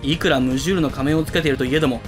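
A man's voice: mid-range voice, fairly even intonation, racing, lightly acted. A man playing a bossy, grandiose student club president in a comedic voice drama, with a pompous, commanding delivery. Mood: solemn, insistent. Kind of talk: comedic anime-style voice drama acting. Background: background music.

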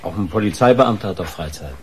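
A man's voice: deep voice, expressive, fast, a little hiss or hum. A man playing a polite, probing newspaper reporter in a radio crime drama, asking courteously and a little hesitantly. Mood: polite, apologetic.

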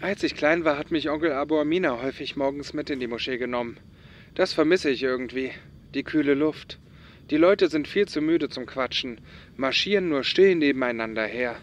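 A man's voice: fairly high pitch, a little fast, a little hiss or hum, lightly acted. A man playing a concerned young companion, loyal and wry, in a German audio drama. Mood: nostalgic.

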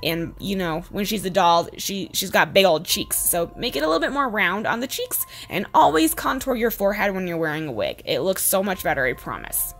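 A woman in her twenties with a slightly hoarse voice, giving a casual, chatty makeup tutorial voice-over. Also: medium pitch, animated, fairly quick, few pauses, fairly bright tone. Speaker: beauty vlogger, host. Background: background music.

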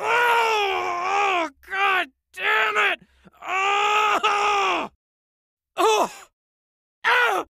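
A man playing an aggressive video game fighter, shouting and straining as in combat. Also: very high-pitched, vocal fry, no room sound, clean studio sound, heavily acted. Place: home studio. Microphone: studio condenser.

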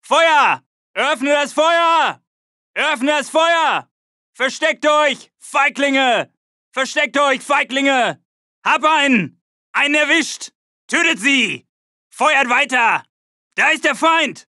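An actor playing a frantic, hostile German soldier, barking urgent combat shouts for a video game. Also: male voice, very high voice, expressive, takes it slowly, no room sound, studio quality, exaggerated voice. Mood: urgent, aggressive.

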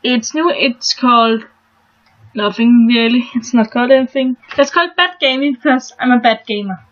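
A young woman from Denmark with a Danish accent, chatting casually and haltingly as she shows a game on her new channel, restarting her sentences often. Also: on the high side, a bit bright, lo-fi sound. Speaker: gaming channel host. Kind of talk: casual gameplay commentary.

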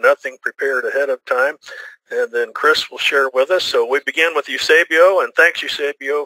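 A man opens a live webinar as its host, reading a smooth, upbeat script. His voice is very bright and forceful. It is recorded dry and close to the mic. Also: mid-range voice, no echo, rough recording. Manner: upbeat, smooth salesy delivery.

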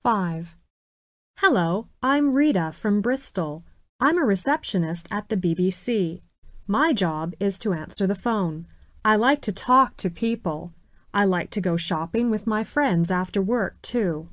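Slow, clear woman reading a lesson. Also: mid-range voice, lively intonation, no room sound. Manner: slow, clear enunciation with spelled-out letters. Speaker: recorded listening-exercise speaker. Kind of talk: scripted textbook dialogue.

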